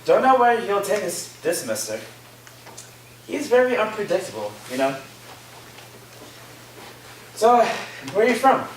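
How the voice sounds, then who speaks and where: A man's voice: sits high, very animated, measured pace, long pauses. A man playing a friendly, chatty young college student in a staged reading, casual and a little hesitant. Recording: echoey, distant room recording with faint noise.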